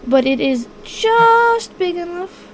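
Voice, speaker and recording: High-voiced woman running a tabletop game. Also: sing-song, slow pace, a little hiss or hum. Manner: hesitant, searching for words, laughs easily. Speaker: game master. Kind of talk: improvised tabletop game narration.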